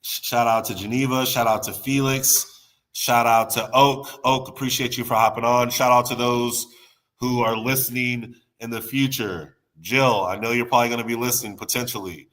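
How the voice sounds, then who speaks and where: Stuffy guy on a podcast, bright punchy voice, rambles and keeps restarting. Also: medium pitch, steady tone, normal speed, rough recording. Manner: rambling, repeats words and restarts sentences. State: stuffy nose, under the weather. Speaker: podcast guest. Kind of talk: casual podcast chat.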